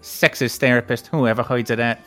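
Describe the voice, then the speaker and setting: A man voicing a gruff, muttering cartoon sailor with folksy, garbled grammar and a skeptical temper. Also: mid-range voice, fairly even intonation, brisk, a bit bright, faint music behind, pitched below the performer's own voice, lightly acted. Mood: muttering disdain.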